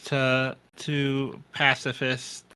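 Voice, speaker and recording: A man playing a tabletop game, talking casually and haltingly, repeating words as he thinks aloud. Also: mid-range voice, monotone, sharp tone, smooth. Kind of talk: casual roleplay and table chat.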